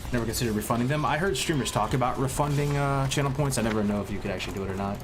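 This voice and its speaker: A man's voice: mid-range voice, rapid-fire. A man live-streaming and chatting casually with his viewers, sounding congested with a cold, rambling and restarting his sentences with plenty of gamer slang. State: congested, sniffly. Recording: music playing clearly behind.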